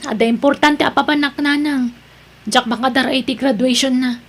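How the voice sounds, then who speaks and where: A woman plays a discontented young mother in an audio drama. Her voice sits high, and she speaks in a curt, complaining way, stubborn and defiant. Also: fairly even intonation, moderate pace. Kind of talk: Ilocano audio drama acting.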